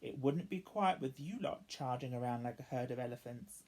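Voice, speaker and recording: A woman voicing a brisk, firm but indulgent mother elephant in a children's storybook. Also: rumbling low, a little mellow.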